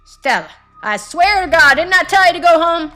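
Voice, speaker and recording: Woman doing a worked up country guy from a game, loud n folksy, fretting, high pitched, music under it. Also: animated, moderate pace, heavily acted. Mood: exasperated. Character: agitated, folksy country man named Duke.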